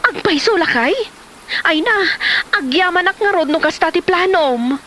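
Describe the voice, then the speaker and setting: A woman acts out a wary village wife in an Ilocano comedy drama. Her voice is high and piercingly bright, with a plain-spoken, expressive manner. Faint noise sits behind it. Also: normal speed, heavily acted. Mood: agreeable. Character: nagging, exasperated village wife, practical and wary.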